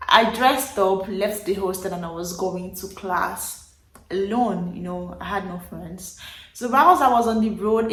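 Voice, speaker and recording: Young woman, Nigerian accent, casual storytelling, animated and emphatic, roomy echoey recording. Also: mid-range voice. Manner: animated, emphatic retelling with repeated phrases. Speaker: vlogger. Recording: roomy echo.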